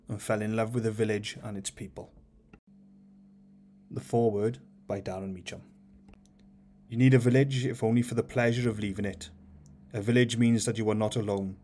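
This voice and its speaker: A man hosting his own channel, reading a book of light-hearted short stories aloud in a steady, measured way. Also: lowish voice. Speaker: audiobook reader and channel host.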